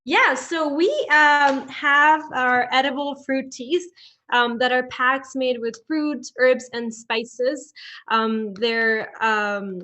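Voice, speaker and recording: A young woman from Ecuador pitching her product informally on a video call as a founder, with halting, hesitant phrasing. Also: fairly even intonation, sharp tone. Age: young adult. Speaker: startup founder, guest. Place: indoor room at home. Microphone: laptop video call.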